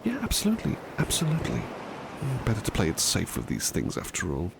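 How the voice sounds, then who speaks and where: A man acts as a tender, courteous butler in an ASMR roleplay, with a deep voice that moves expressively between soft murmurs and whispers. He takes his time, soothing and attentive, repeating himself gently. Loud, steady ambient noise runs behind him. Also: fast talker, vocal fry, full character voice. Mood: attentive, eager. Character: devoted, tender, polite male butler servant.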